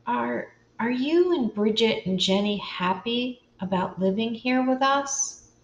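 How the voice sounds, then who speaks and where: A kind, thoughtful young girl who speaks gently, voiced by an older woman reading aloud. The delivery is slow, with a roomy echo. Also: a little high, expressive, pitched above the performer's own voice. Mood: gentle.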